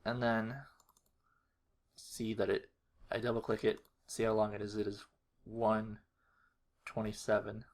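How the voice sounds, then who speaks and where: A man giving a casual screen-recorded walkthrough, thinking aloud in a low-key, halting mutter. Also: monotone. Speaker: video creator. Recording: faint noise with keyboard clicks. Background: computer keyboard typing and mouse clicks.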